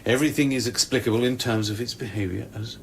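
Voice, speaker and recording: A man's voice: mid-range voice, steady tone, fast, slight background noise, close to an everyday voice. A man acting in a radio play as a wry, concerned scientist on a space station, talking in a musing, probing way. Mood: musing, amused.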